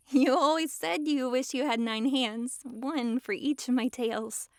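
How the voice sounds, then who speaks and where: Woman voicing a high-pitched, possessive fox girl. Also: slow, no room sound, clean studio sound. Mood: coaxing, playful. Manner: sweetly controlling, giggly, swings between gushing and hushed coaxing. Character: possessive, lovestruck young-sounding fox-girl mage, dominant yet giddy. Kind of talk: intimate ASMR roleplay addressed to listener.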